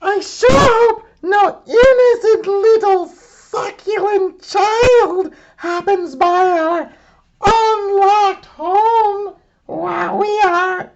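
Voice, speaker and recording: A shrill storybook mama dinosaur, played by a man in falsetto, very high and loud. She is fussy and sweetly sinister, laying on false innocence. The recording is a little dull. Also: very slow, heavily acted. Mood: feigned innocence. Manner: feigned innocence, exaggerated.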